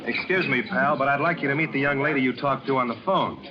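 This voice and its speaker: A man's voice: medium pitch, fast, over a noisy crowd, close to an everyday voice. An actor playing a shrewd, sardonic American newspaperman with casual slangy speech, in 1940s radio drama style. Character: wry, shrewd, hard-boiled American newspaperman. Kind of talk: 1940s radio drama acting and first-person narration. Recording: dull vintage radio recording with music.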